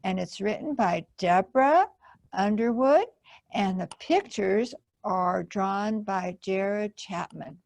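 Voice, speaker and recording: A woman's voice: mid-range voice, takes it slowly, vocal fry, darkish tone, no room sound. A woman hosting a children's storytime, reading a picture book aloud expressively and explaining it simply to young listeners. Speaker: library storytime host and reader.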